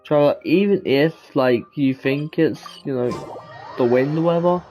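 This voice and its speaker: A man's voice: fairly high pitch, measured pace, muffled recording, soft background music. A man casually commenting while he plays a game, rambling with plenty of fillers. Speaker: streamer playing a game.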